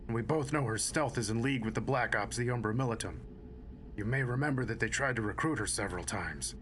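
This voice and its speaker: An actor playing an earnest, respectful ranger soldier in an audio drama, measured and formal. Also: male voice, medium pitch, a bit bright, slight background noise, close to an everyday voice. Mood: earnest persuasion.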